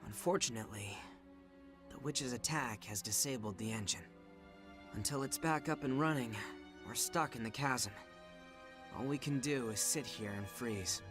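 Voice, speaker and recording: An actor playing a steady young male squad leader who also narrates in a measured, earnest way. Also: medium pitch, music in the background, lightly acted. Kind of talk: game narration and dialogue. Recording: game audio under loud score.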